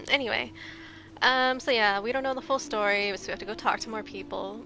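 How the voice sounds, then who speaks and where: A woman with a soft, quiet voice, chatting casually while she plays a game. She is a little sleepy, holds her volume back, and laughs lightly and often. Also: a little high, moderate pace, faint music behind, rough recording. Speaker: streamer. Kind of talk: casual gameplay commentary. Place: home room, shared house.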